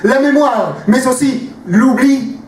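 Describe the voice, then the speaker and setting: A man performing slam poetry at a live evening, declaiming in short, measured phrases. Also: very high voice, darkish tone. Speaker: slam poet. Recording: roomy, distant mic, faint noise.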